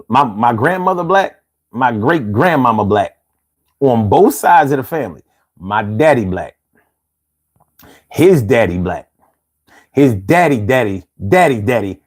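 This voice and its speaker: Laid-back man, lively pitch. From United States. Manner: laid-back, chuckling, drawn-out slangy talk. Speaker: live stream host reading viewer comments. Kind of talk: casual live stream chat.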